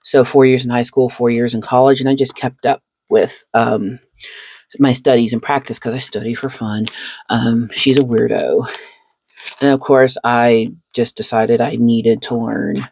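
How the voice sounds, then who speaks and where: A woman's voice: very deep voice, steady tone, a little slow, gravelly, darkish tone, slightly rough, no room sound. A woman hosting a casual chat while sleeving cards, reflective and chatty, laughing often between thoughts. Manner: seated, talks while handling cards. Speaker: channel host.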